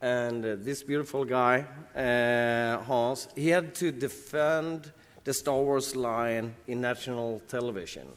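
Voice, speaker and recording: A man from Sweden with a Swedish accent, talking casually on a convention panel, halting and restarting as he searches for words. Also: medium pitch, steady tone, clean tone. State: jet-lagged. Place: large convention hall. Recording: reverberant hall.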